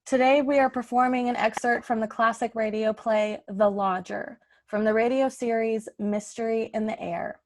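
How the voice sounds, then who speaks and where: High-voiced, chatty woman hosting a podcast. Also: fairly even intonation, moderate pace, hardly any pauses. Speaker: podcast host. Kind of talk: casual show introduction.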